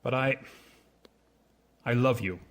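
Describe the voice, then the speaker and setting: A man playing a stiff, proud gentleman suitor in a period romance radio drama, earnest, formal and restrained. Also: mid-range voice, slow. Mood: earnest, awkward. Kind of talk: scripted radio drama acting.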